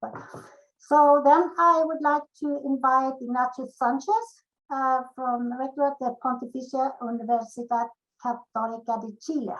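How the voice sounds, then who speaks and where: A woman with a somewhat high voice moderates a panel. She speaks briefly and informally. She hands the floor to the next speaker. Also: fairly even intonation, normal speed, highs cut off.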